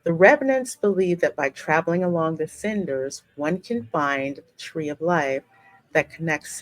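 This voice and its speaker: Slightly low-voiced woman hosting a game playthrough. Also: rough recording. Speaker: gaming channel host. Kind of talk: let's play commentary, reading game text aloud with asides.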